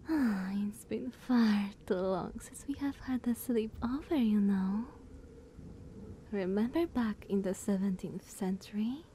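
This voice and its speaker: A woman playing a playful, teasing younger vampire sister in ASMR roleplay, sultry and breathy, often near a whisper, coy and purring. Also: medium pitch, slow pace, pauses often, slight background noise, close to an everyday voice. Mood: sultry, affectionate. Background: soft ambience.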